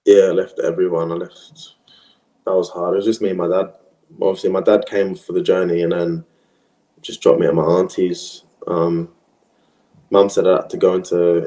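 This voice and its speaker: Young man, from Australia, French first language, low dark voice, rambling casual chat, echoey room. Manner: relaxed, rambling, many fillers and restarts. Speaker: interview guest, professional footballer.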